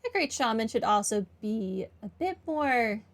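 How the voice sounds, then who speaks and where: High-voiced woman commenting on a game. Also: lively intonation, a little slow. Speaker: game streamer. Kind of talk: casual playthrough commentary.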